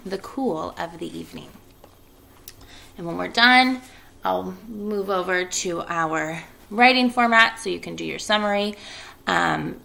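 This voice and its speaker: A woman's voice: on the low side, creaky, pushed and bright. A woman speaking as an elementary school teacher, addressing and reading to children. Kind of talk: children's read-aloud and casual class announcements.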